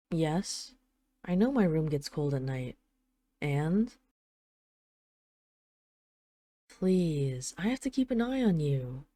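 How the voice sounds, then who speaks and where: A woman's voice: on the low side, mellow, dry recording. A woman playing a haughty, teasing dragon queen in an intimate audio roleplay, with an imperious, sarcastic, mock-sweet manner. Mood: wry, teasing. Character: haughty, teasing dragon queen, possessive of her human pet husband. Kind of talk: intimate scripted audio roleplay addressed to the listener.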